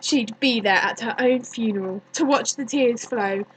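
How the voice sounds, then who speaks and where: A woman performing a dramatic stage monologue as a bitter, grieving young woman, deliberate and accusing, with many pauses. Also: fairly high pitch, slight background noise, lightly acted, poor audio. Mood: scornful. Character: bitter grieving young woman haunted by her dead cousin.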